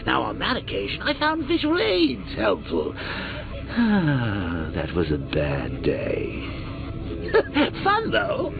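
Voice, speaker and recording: An actor playing a manic, theatrical male clown villain in a video game, taunting in sing-song swings from purring lows to shrieking highs, with a gravelly voice and a cackling laugh. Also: narrow, tinny audio, very noisy, exaggerated voice.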